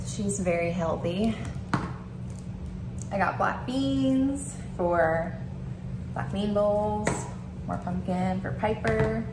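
A woman's voice: mid-range voice, extremely slow, pauses often, roomy sound, background noise. A woman in her thirties chatting casually to camera in her home vlog, with many "like"s and "um"s. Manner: chatty, filler-heavy, lots of "like" and "um". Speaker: vlogger. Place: kitchen and living room of an apartment.

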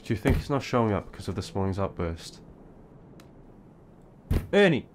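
An actor playing a bossy, responsible teenage eldest brother in an audio drama, stern and scolding. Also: male voice, lowish voice, sing-song, normal speed, a little mellow, a little hiss or hum.

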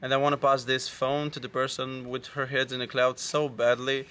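A man's voice: mid-range voice, flat delivery, normal speed, a bit bright. A young man from Romania, a volunteer, introducing himself and playfully teasing a friend. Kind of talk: casual vlog intro.

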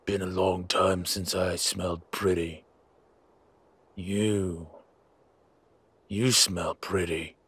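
A man playing a slow-witted, menacing henchman guarding his boss in an audio roleplay, with a gruff, raspy voice and blunt, halting speech. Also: low-pitched, level tone, fairly bright tone, dry recording, heavily acted. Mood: leering. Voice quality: raspy, gravelly.

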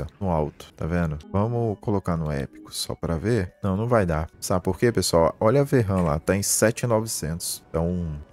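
A man's voice: low-pitched, darkish tone. A man with a Brazilian Portuguese accent talks through an informal gaming tutorial step by step, with colloquial verbal tags. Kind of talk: informal screen-share tutorial with live commentary.

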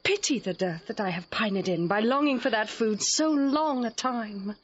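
A woman's voice: on the high side, lively intonation, normal speed, breathy edge, highs cut off. An actress playing a headstrong, playful young noblewoman in love, speaking Shakespearean verse with ardent lyricism in an audio drama. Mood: yearning, pleading.

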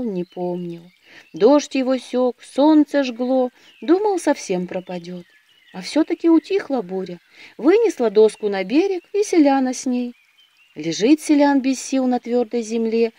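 A woman storyteller narrating a scripted audio fairy tale in a measured, expressive manner. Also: on the high side, dry recording.

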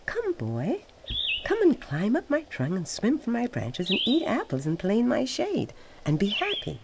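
A sweet gentle tree lady, high and soft, as a woman reads her, birds and bugs loud around. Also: wildly swooping pitch, normal speed, creaky, background noise, heavily acted. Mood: tender. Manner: tender, pleading, soft. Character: gentle, loving female apple tree, aging. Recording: outdoors with birds and insects.

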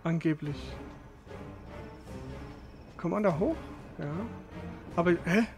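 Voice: mid-range voice, slow. A streamer giving casual live commentary on a video game, thinking aloud and asking questions while playing. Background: game music.

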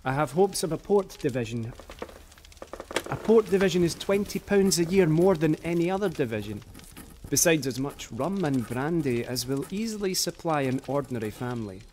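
A man's voice: a little high, lively intonation, normal speed, a little mellow, lightly acted. A man playing a hopeful eighteenth-century poet, reading his letters aloud in an earnest, literary way for a radio drama. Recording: long hall reverb, faint noise.

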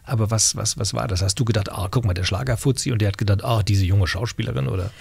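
A man's voice: lowish voice, fast, creaky, darkish tone, a little hiss or hum. A middle-aged man hosting a casual radio interview in a small studio, speaking into a close studio mic. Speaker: radio host. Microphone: studio mic on boom arm.

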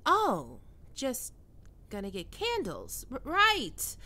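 A woman's voice: high voice, wildly swooping pitch, takes it slowly, pushed and bright, exaggerated voice. A woman playing a skittish, defensive young catgirl roommate in an ASMR roleplay, stammering and prickly to hide her fear. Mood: frightened, needy.

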